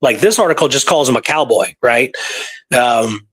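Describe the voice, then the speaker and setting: A man's voice: mid-range voice, expressive, moderate pace, fairly bright tone, husky. A man hosting a history talk, telling stories casually and going through dates and records in detail. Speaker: podcast host presenting historical research. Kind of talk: casual storytelling conversation.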